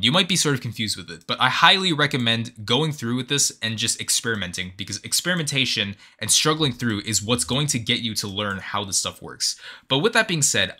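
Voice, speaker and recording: A man's voice: mid-range voice, pushed and bright. A man hosting a casual tutorial, patiently explaining things step by step and stressing key words. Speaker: tutorial host. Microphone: microphone, voice-over of screen recording.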